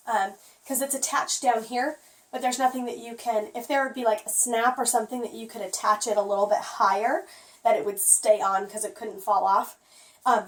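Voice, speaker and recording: A hoarse woman who has nearly lost her voice, chatting casually through a product review with lots of "um"s. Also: on the high side, distant mic, rough recording. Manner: chatty, rambling, frequent "um".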